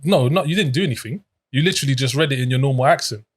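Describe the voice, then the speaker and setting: A man, co-hosting a casual review chat, relaxed and stop-start as he talks. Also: mid-range voice, racing, slightly rough. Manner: relaxed, hesitant, full of fillers and restarts. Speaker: co-host.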